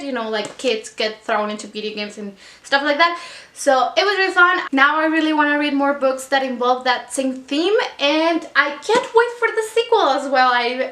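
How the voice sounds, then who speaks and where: A young Mexican woman with a Mexican accent talks through her reading in a rambling, chatty way, full of "like". Her voice sits high and sounds bright. The room around her is echoey. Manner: chatty, rambling, many "like" fillers. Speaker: book vlogger. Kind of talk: casual reading wrap-up vlog.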